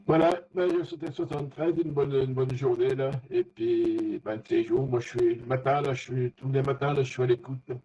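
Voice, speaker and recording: A man, an amateur radio operator, chatting casually in a halting, repetitive way. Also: medium pitch, steady tone, warm tone, husky. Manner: halting, repeats words. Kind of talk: casual ham radio chat. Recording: dull lo-fi radio link.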